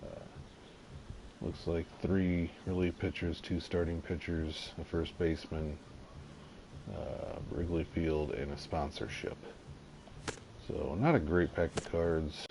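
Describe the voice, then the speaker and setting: A man casually commenting on his gameplay, slightly nasal from allergies, halting with lots of fillers. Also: little pitch movement. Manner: halting, many fillers, unhurried and low-key. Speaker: gaming video creator. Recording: audible background noise.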